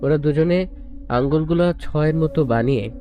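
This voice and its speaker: A man's voice: on the high side, moderate pace, mellow. A man reading a scripted romantic story as a narrator. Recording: music bed under the voice. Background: prominent instrumental music.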